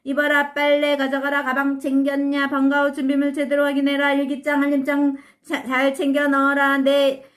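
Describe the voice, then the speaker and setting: A woman's voice: high voice, completely flat delivery, pitched above the performer's own voice, lightly acted. A woman voicing a strict, nagging father in a storybook reading, firing off one scolding order after another. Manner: rapid-fire scolding commands.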